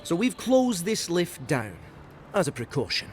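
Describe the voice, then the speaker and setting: A man's voice: on the high side, very animated, measured pace, soft background music. A man playing a stern, suspicious and officious guard in a video game. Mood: curt, official.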